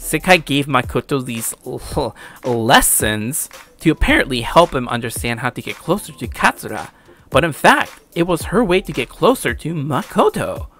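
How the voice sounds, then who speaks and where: Young guy ranting casually about anime, bright punchy voice, bit slangy, music behind. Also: mid-range voice, very animated, normal speed. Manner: chuckles, casual slangy swearing. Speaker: video creator giving anime commentary. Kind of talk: casual opinionated commentary.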